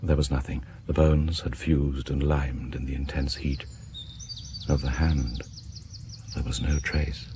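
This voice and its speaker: An actor playing a grave, sinister British narrator of radio horror tales, deliberate and dropping into whispers. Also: male voice, extremely low pitch, little pitch movement. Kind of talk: dramatic scripted horror narration. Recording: faintly noisy vintage radio broadcast.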